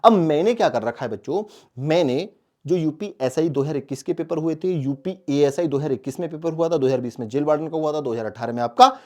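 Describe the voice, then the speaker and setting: A man's voice: on the high side, quick pace, warm tone. A man coaching students as a teacher, emphatic, repeating key phrases and answering his own questions. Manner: asks questions and answers them himself. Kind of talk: exam strategy lesson addressed to students.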